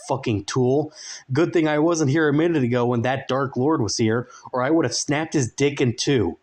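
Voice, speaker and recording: A man's voice: medium pitch, a little mellow, pitched above the performer's own voice, lightly acted. A man voicing a crude, boastful little runt full of menacing bluster in a story reading.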